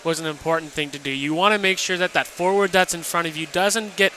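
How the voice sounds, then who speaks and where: A man's voice: on the high side, fast talker, bright, forceful tone, slight background noise. A man working as a hockey color commentator, giving conversational analysis from an indoor arena.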